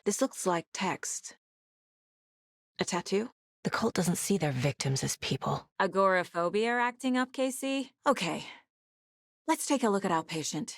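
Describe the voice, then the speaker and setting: A woman playing a focused, intuitive female FBI agent in a video game, speaking in a measured, introspective and intense way. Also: mid-range voice, no room sound, studio quality. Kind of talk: video game voice acting, investigative monologue.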